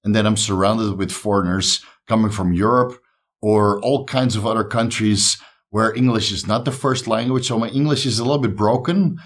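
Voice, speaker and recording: A man's voice: lowish voice, steady tone, normal speed. A man from the Netherlands with a Dutch accent and a slight speech impediment, talking casually and upbeat to his viewers as a YouTube host. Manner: upbeat, encouraging. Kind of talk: casual talk to camera.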